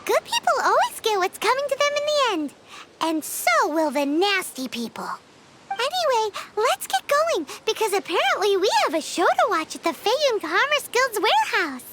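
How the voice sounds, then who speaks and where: Squeaky, childlike game sidekick fairy. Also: female voice, wildly swooping pitch, moderate pace, noisy background, exaggerated voice. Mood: confident. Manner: perky, emphatic, talks like a cartoon sidekick. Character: small chirpy childlike female fairy companion, bossy and cheeky. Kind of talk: English video game voice acting.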